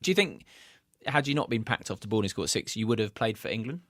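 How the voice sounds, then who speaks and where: A man's voice: fairly low pitch, expressive, fast talker, a bit bright, no room sound, clean studio sound. A man hosting an interview, asking friendly, probing questions. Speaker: podcast host.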